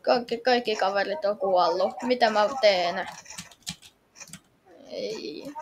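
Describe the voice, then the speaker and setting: A woman playing a game and reacting out loud in a dramatic, exclamatory, pleading way. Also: mid-range voice, background noise. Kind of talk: excitable reactive gameplay chat.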